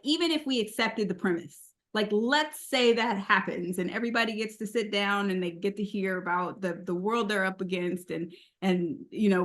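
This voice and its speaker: A woman with an average-pitched voice talks casually as a panelist. She reasons aloud, feeling her way haltingly through each step of an argument. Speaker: discussion panelist.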